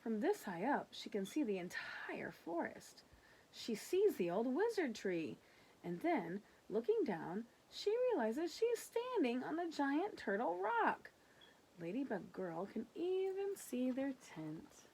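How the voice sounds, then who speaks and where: A woman reading a children's picture book aloud in an expressive way, with casual asides. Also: high-pitched, slightly rough, low-quality recording. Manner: animated, unhurried narration. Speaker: storybook reader.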